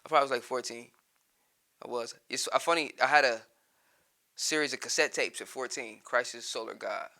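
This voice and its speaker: Bright-voiced laid-back rapper. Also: male voice, mid-range voice, fairly quick. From Columbus, Ohio, born in Texas. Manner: laid-back, laughs often, says "you know what I mean". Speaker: guest rapper. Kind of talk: casual interview chat.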